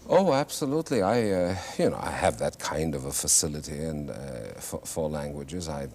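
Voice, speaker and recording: A man, an actor and singer whose first language is German, telling stories in a reflective, halting way with wry humor in a television interview. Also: rumbling low, animated, darkish tone. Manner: thoughtful, halting with many restarts, wry humor. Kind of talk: reflective conversational storytelling. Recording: faint hiss, 1970s TV studio.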